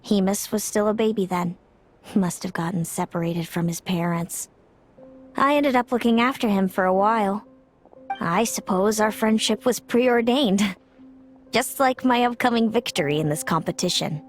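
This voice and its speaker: A woman voicing a proud, fatalistic older sister in a video game, measured and grave. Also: mid-range voice, faint music behind, close to an everyday voice. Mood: confident. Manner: measured, grave, speaks of fate with cool confidence.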